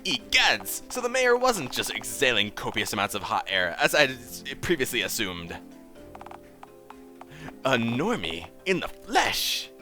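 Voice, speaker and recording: Pompous mustache-twirling game villain played by a man, florid, swooping delivery, bright and forceful. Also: mid-range voice, wildly swooping pitch, measured pace, soft background music, heavily acted. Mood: astonished. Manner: florid, theatrical, archaic wording.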